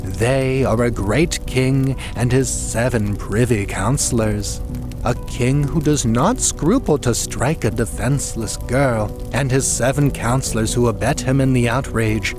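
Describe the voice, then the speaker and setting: A man voicing a maniacally gleeful, vengeful jester dwarf in an audiobook, with a shrill, screaming edge and a drawn-out, taunting delivery. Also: music in the background, natural voice. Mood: vengeful triumph.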